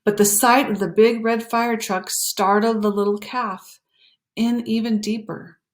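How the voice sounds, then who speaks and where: A woman reading a children's picture book aloud in an expressive, animated way, pausing for emphasis and talking to young listeners. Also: a little high. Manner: animated, explanatory, pausing for emphasis and dropping to hushed phrases. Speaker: storytime reader.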